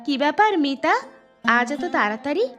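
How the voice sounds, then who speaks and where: A woman voicing a caring, hard-up young village mother in a cartoon, speaking in a gentle, practical way. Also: high voice, sing-song, normal speed, over music. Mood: motherly. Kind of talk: Bengali moral-story cartoon dubbing.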